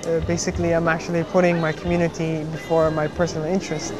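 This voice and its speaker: A young man from Somalia, a student, speaking earnestly in an interview with many pauses and restarts. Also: fairly high pitch, monotone, over music. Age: young adult. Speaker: engineering student. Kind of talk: interview testimony.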